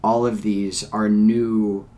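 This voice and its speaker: A man's voice: fairly low pitch, little pitch movement, slow, warm tone. A man hosting an interview, speaking thoughtfully with hedges like "kind of" and "like". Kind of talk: casual interview talk.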